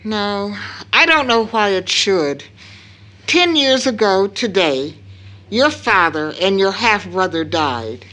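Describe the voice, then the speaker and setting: An actress playing a grave, anguished middle-aged mother in an audio drama, speaking in a solemn, deliberate and emphatic way. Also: mid-range voice, lively intonation, sharp tone. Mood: stern, solemn. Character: grave, anguished mother baring a long-kept family secret. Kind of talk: stage audio drama acting.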